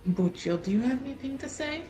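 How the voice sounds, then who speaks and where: A woman speaking deliberately, with pauses between phrases, as she narrates and roleplays in a tabletop game. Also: sits high, expressive, warm tone. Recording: roomy echo, faint music behind. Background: faint music.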